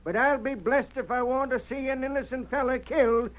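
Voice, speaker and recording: A man's voice: very high voice, steady tone, a little fast. A man playing a blustery, honest Old West sheriff in a radio western, with folksy frontier slang and an exasperated manner. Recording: muffled vintage radio recording.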